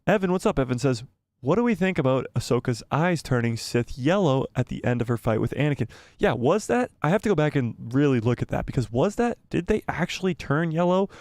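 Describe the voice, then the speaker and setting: Hoarse man chatting on a live stream. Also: on the high side, animated, a little fast, few pauses, a little mellow, dry recording. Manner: rambling, repeats himself, thinks aloud. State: losing his voice, coughing. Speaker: livestream host. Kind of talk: casual live-stream chat reading viewer comments.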